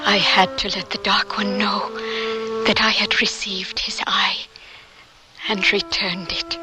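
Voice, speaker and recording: An actress playing a direct, tender Shoshone girl of seventeen, narrating a radio drama in a measured, intimate way. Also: medium pitch, natural voice, poor audio. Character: direct, tender seventeen-year-old Shoshone young woman in love. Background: prominent flute music.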